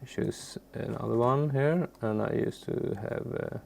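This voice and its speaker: A man from Sweden, a native Swedish speaker, giving an informal, unscripted software tutorial in a halting, unassuming way with a gravelly, creaky voice. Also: mid-range voice. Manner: halting, searching for words, unassuming. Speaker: music software tutorial presenter. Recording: faint background noise, keyboard clicks.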